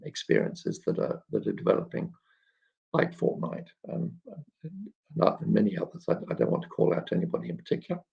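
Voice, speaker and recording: A man hosting an informal live question-and-answer chat, explaining things in a halting way and often clearing his throat. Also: medium pitch, darkish tone. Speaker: children's author and illustrator hosting a live chat. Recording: dull, muffled lo-fi live stream.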